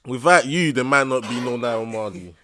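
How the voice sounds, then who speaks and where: A man's voice: mid-range voice, fairly quick, crowd noise. A young man hosting his first interview, talking in hype slang and often asking "you understand". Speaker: interviewer and channel host.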